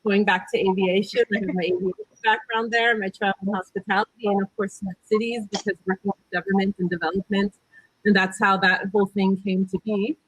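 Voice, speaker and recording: A woman's voice: medium pitch, flat delivery, brisk, breathy edge, no echo, poor audio. Chatty American woman panelist, dry sound. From California. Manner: chatty, hesitant with many fillers. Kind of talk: casual panel talk. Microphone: video call.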